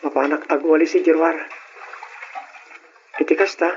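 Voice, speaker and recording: An actor playing a frail, eager schoolboy son with a weak heart in an Ilocano-accented radio drama, speaking in a plaintive, labored way. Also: male voice, on the high side, steady tone, normal speed, lightly acted. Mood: weary, musing. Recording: muffled radio recording with faint noise.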